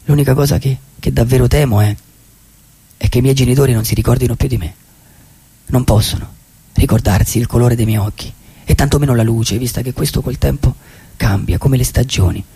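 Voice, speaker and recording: A solitary wandering dreamer in a radio theatre monologue. His voice is husky and gravelly, at a middling pitch. He muses wistfully and philosophically, pausing and repeating phrases as he goes. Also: mellow. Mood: reflective, then melancholy. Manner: reflective, halting, with dramatic pauses and repeated phrases. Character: lonely, dreamy male vagabond, wistful and philosophical.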